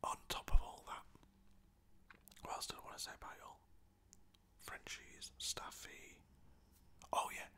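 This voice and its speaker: Cheeky British whisperer, bright and breathy. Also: unhurried, long pauses. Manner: cheeky, chatty, slangy, mild swearing. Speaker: storyteller talking about own pets. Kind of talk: whispered casual storytelling, ASMR.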